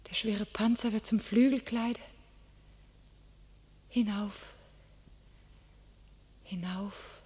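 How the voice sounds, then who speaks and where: A devout young warrior maiden in a classic radio play. She speaks solemn, declamatory verse, slow and deliberate. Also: medium pitch, mellow, husky.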